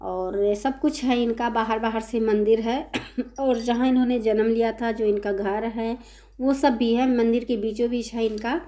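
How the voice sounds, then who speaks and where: Woman from india doing a chatty vlog voiceover, bit of a sore throat, kinda flat and mellow. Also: a little high, unhurried. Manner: chatty, many fillers, guiding viewers. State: sore throat, occasional cough. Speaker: vlogger. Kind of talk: casual travel vlog voice-over. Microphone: voice-over recorded separately.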